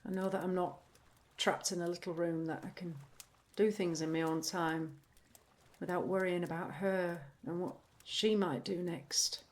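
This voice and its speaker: Woman acting an anxious, halting woman. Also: fairly low pitch, steady tone, lightly acted. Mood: earnest, hesitant. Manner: halting, searching for words, repeats herself. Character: troubled, candid, anxious neurodivergent woman. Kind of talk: audio play acting, confessional monologue.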